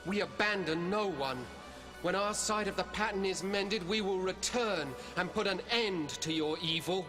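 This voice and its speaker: A man's voice: sits high, a little slow, music in the background. A man playing an earnest, mild young weaver boy, the hero of a fantasy video game. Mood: resolute.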